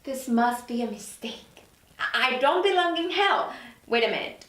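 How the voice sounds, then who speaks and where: A woman's voice: sits high, lively intonation, normal speed, a bit bright. A woman playing an incredulous, insistent newly dead woman of forty at a drama table read. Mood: indignant. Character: indignant, disbelieving forty-year-old woman newly dead. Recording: roomy echo, distant mic, faint noise.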